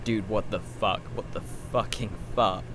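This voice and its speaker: A man's voice: on the low side, fairly even intonation, measured pace, background noise, close to an everyday voice. An actor playing an anxious, awkward, nerdy young man who is blunt and bewildered, in an audio drama. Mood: bewildered, alarmed.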